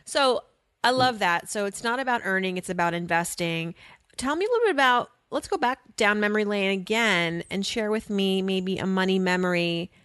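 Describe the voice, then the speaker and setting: A woman's voice: fairly low pitch, a bit bright, clear, resonant tone, dry recording. Chatty woman host, dry recording. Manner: chatty, curious questioning. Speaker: podcast host. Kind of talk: casual interview chat.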